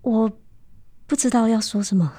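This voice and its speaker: A woman's voice: on the high side, moderate pace, warm tone, dry recording, clean studio sound, close to an everyday voice. An actress playing an earnest, lonely romantic woman in a radio drama, speaking hesitantly and wistfully. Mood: reserved, curt. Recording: music bed under the voice.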